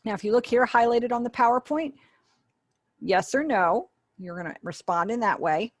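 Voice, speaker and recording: A woman's voice: a little high, expressive, moderate pace, no echo. A woman giving an online sign language lesson over a webinar call, patiently explaining and acting out example phrases. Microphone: webinar video call.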